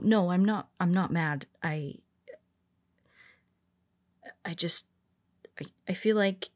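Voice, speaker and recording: A woman's voice: on the low side, a little mellow. A woman playing a powerful, blunt but secretly vulnerable superhero in an intimate audio roleplay, speaking conversationally with hesitant asides. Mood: flustered, earnest.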